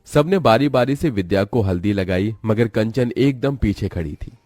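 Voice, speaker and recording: A man's voice: fairly low pitch, lively intonation, normal speed, darkish tone, no echo, clean studio sound. A man narrating a scripted, dramatic story as a storyteller.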